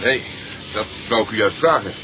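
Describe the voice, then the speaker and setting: An actor playing an amiable husband, by turns hesitant and playfully pompous, in a radio drama. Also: male voice, fairly low pitch, normal speed, close to an everyday voice. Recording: noisy car ambience.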